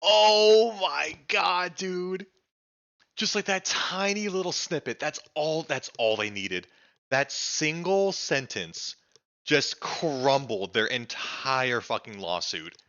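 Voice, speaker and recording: Young man, excitable, swears freely, crisp forceful tone, dull lo-fi audio. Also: on the high side, takes it slowly. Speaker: streamer reacting to a documentary. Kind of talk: casual live-stream reaction chat.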